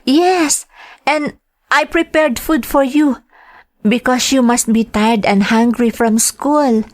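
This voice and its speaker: A woman's voice: a little high, slow pace, no room sound. A woman acting in a drama as a devoted, homesick Filipino mother working as a nanny, with a Filipino accent and an earnest, polite way of speaking. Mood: kind, polite. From Philippines. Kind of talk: radio drama acting and narration.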